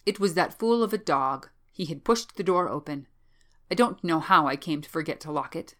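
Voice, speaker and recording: A woman's voice: deep voice, moderate pace, lightly acted. A secretive young male student from an audiobook, played by a woman. He sounds nervous and evasive, offering flustered, clipped explanations. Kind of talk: audiobook character voice.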